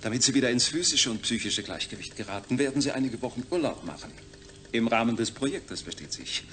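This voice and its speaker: An actor playing a brisk, authoritative project scientist in audio drama dialogue. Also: male voice, mid-range voice, animated, a bit bright, close to an everyday voice. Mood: upbeat, official.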